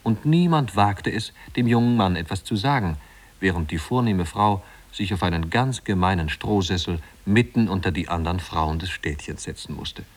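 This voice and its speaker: An actor as a composed storyteller, narrating a literary tale in a measured, wry way in a 1950s radio play. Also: male voice, low voice. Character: composed male storyteller of a satirical small-town tale.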